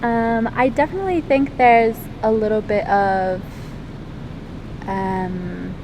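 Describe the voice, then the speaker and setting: A teenage girl answering interview questions at a middle pitch, stopping often to think with ums and likes. A loud, steady rumble runs under her voice throughout. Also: takes it slowly. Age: teens. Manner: hesitant, many ums and likes. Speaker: interviewee, lifeguard and swimmer. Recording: loud rumbling background noise.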